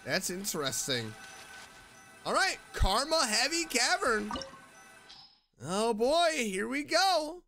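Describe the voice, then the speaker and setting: A woman giving casual, slangy gameplay commentary as a streamer, animated and quick to exclaim. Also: a little high. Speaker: streamer, game player. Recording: game music behind the voice. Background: video game music.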